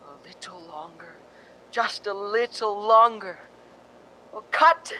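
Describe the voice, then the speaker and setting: A man's voice: high voice, steady tone, takes it slowly, pushed and bright, a little hiss or hum, exaggerated voice, poor audio. An actor playing a fussy film director in a radio drama, coaxing his leading lady with theatrical short commands. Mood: commanding, coaxing.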